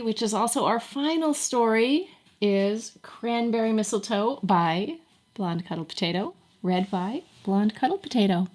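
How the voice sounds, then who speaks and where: A woman hosting an online event in a casual, conversational style. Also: mid-range voice. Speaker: event host.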